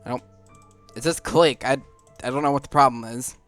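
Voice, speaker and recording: A man hosting a gaming video, explaining things informally in a halting, casual way as he plays. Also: medium pitch, fairly bright tone, clear, resonant tone. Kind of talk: casual gameplay commentary. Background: faint game music.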